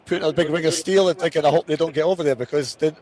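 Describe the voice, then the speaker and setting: A man's voice: on the high side, rapid-fire, a crowd in the background. A man hosting a live broadcast with casual commentary, often repeating and restarting his phrases.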